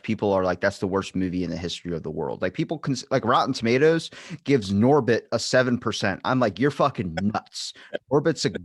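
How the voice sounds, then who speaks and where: A man's voice: lowish voice, no room sound, studio quality. A man hosting a podcast in casual chat, blunt and sweary, often acting out quoted reactions as he talks. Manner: blunt, sweary, animatedly quotes himself and others. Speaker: podcast host.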